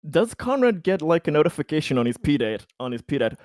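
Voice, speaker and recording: Man, tabletop gamer, animated intonation, informal joking chat, dry sound. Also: fairly high pitch, quick pace, no echo. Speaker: tabletop game player. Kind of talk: casual game-table chat.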